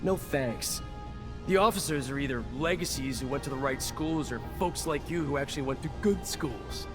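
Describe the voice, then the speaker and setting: An actor playing a cynical veteran soldier in a video game, gruff and blunt in manner. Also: male voice, medium pitch, moderate pace, close to an everyday voice. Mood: bitter, sarcastic. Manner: gruff, sardonic, blunt, swearing. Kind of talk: video game dialogue acting. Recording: music behind the voice.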